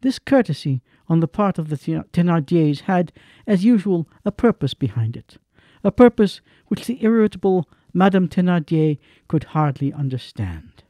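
A man's voice: fairly high pitch, very animated, normal speed, mellow, no echo, clean studio sound. A man narrating a radio drama in a scripted storytelling style.

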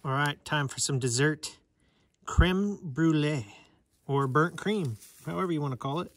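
A man's voice: fairly high pitch, measured pace, dry recording. A man in his thirties vlogging casually at a snowy night campsite, savoring food and chatting to viewers. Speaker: outdoor vlogger. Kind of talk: casual chat to camera.

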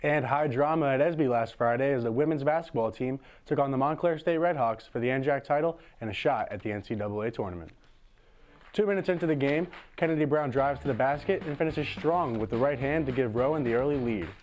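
A young man, a student sportscaster narrating scripted game highlights in a studio. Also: brisk. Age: young adult. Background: faint music.

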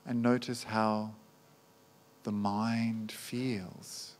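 A man guiding a meditation as a teacher, speaking gently in short, unhurried phrases with long pauses. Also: fairly low pitch, flat delivery. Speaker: meditation teacher, Buddhist monk. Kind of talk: guided meditation.

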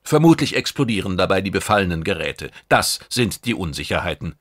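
A man plays a self-assured male operative in a science fiction audiobook. He speaks in a lowish, controlled voice and argues coolly and deliberately. The recording is dry. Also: big pitch swings, fairly bright tone, no echo, heavily acted. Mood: cool, insistent. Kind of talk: audiobook character dialogue.

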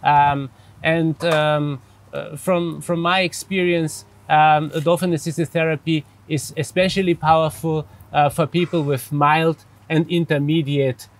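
A man in his forties from Austria, speaking English with an Austrian German accent as a psychologist guest, measured and careful with many fillers, outdoors by a pool. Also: fairly high pitch, fairly even intonation. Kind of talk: interview answers.

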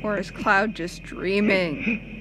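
A young woman giving casual, excitable live reaction commentary on game cutscenes, full of exclamations. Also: medium pitch, slow pace, low-quality recording. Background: game cutscene music.

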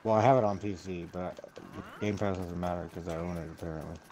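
A man's voice: low-pitched, fairly even intonation, fast, dark tone. A man chatting casually while gaming, rambling and swearing freely. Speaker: gamer talking while playing. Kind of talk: casual chat. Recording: clearly noisy game-session mic, clicks.

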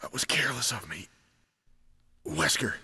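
A man's voice: medium pitch, very animated, normal speed, vocal fry, bright, forceful tone, breathy edge, full character voice. An actor playing a burly, loyal, remorseful policeman in a horror video game, intense and heartfelt. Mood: regretful, resolute. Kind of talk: dramatic video game acting.

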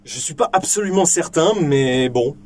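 A young man hosting a casual vlog from a small indoor shooting booth, joking, swearing freely and filling pauses with lots of ums. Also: on the high side, expressive, very noisy. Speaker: firearms video host.

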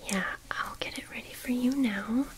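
A woman in her twenties whispering gently as a kindly, attentive medieval innkeeper in an ASMR roleplay, in a soft breathy voice. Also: a little high, background noise, lightly acted. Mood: gentle, attentive. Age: 20s. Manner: gentle, unhurried, solicitous. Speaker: ASMR performer. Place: small dim candlelit indoor set. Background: music.

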